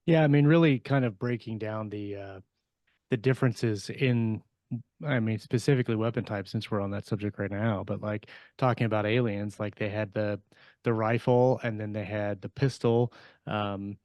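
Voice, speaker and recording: A man co-hosting a podcast in casual discussion, explaining things haltingly as he thinks aloud. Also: on the low side, fairly even intonation, darkish tone, no room sound. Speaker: podcast co-host and fiction writer.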